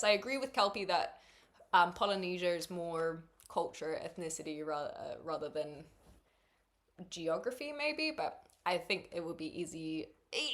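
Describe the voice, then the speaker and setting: A woman's voice: fairly low pitch, a bit bright. A young woman with a faint New Zealand accent, chatting casually on a stream. She thinks aloud, piles up fillers and restarts, and laughs easily as she reads and answers her viewers.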